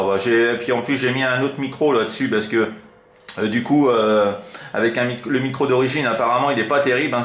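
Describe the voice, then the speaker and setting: A man's voice: mid-range voice, a bit bright. A man chatting casually as an amateur CB radio operator, rambling informally with frequent tag words. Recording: roomy, echoing room sound.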